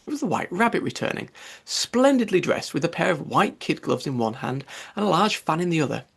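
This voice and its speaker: A man reading a storybook aloud as an audiobook narrator, with expressive delivery. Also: fairly high pitch, brisk, creaky, slightly rough.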